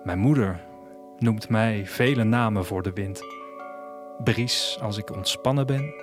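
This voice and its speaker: A man's voice: lowish voice, measured pace, over music, close to an everyday voice. A man acting as a wistful, restless traveller, narrating an audio drama in a reflective, literary first-person style. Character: wistful, restless traveller leaving his future city. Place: studio, music behind.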